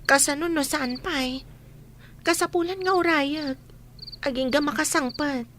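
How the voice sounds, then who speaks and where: A woman's voice: high-pitched, a little slow, background noise. A woman playing an attentive, dutiful housemaid in a radio drama, with an Ilocano accent. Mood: expectant.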